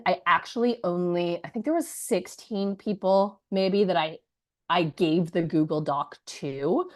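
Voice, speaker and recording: A woman in her thirties, hoarse from a cold, telling an audience the story of her launch in a chatty, energetic way with many asides. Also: lowish voice. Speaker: business coach presenting her launch story. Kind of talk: live presentation to an audience.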